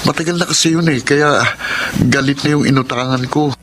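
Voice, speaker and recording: A man's voice: fairly high pitch, brisk, a bit bright, close to an everyday voice. An actor playing a young man in debt from gambling, pleading and evasive in a radio drama.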